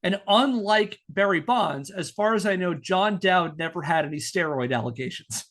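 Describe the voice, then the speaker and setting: High-voiced man telling a story. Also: expressive, normal speed, fairly bright tone. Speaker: podcast host telling a story. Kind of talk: narrated storytelling with casual banter.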